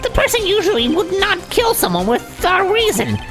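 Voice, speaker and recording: A woman's voice: very high voice, huge pitch swings, brisk, music in the background, pitched above the performer's own voice, heavily acted. A woman voicing an indignant, flustered male prosecutor in a courtroom game, protesting in an exaggerated style. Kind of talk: exaggerated video game voice acting.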